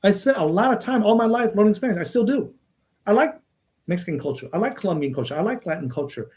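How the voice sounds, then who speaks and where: A man's voice: sits high, lively intonation, fast talker, darkish tone. A man who grew up in Texas, talking casually in a rambling monologue and answering viewers' questions, often repeating words and tagging his sentences with "right?" and "okay?".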